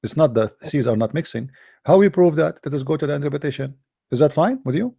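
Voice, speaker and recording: A man hosting a combative call-in debate, his voice tired and dry, who keeps saying "my friend" and often clears his throat. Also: on the high side, animated, fast, warm tone. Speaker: host of an online call-in debate.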